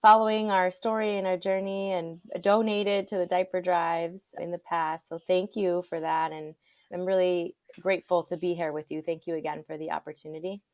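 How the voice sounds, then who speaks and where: A woman in her thirties from Honduras, a guest speaking in a grateful, measured way. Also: fairly low pitch, little pitch movement, a little mellow, no room sound. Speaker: guest, nonprofit founder. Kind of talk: casual interview.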